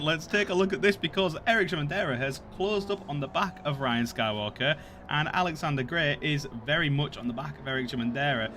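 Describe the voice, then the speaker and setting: A man with a Yorkshire accent commentating an online race, jokey and quick to burst into excited shouts when cars crash. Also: a little high, noisy background. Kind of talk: sim racing commentary.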